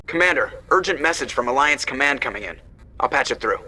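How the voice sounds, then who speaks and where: Sharp, clipped ship's crewman. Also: mid-range voice, expressive, a little fast, far from the mic, slight background noise. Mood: alert. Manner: clipped, businesslike. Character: alert, dutiful male ship's pilot relaying messages. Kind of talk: video game voice acting.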